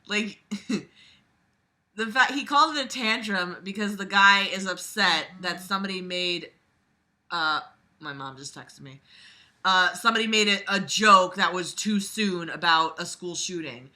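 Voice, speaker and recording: A woman's voice: lowish voice, measured pace, sharp tone. A woman reading a book aloud and critiquing it casually, blunt, exasperated and sweary, with sarcastic commentary.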